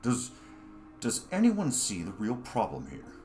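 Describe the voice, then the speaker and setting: A man voicing a forceful, self-righteous leader in a story, rallying followers with emphatic, oratorical speech. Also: fairly low pitch, huge pitch swings, a little slow, faint music behind. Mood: grave indignation.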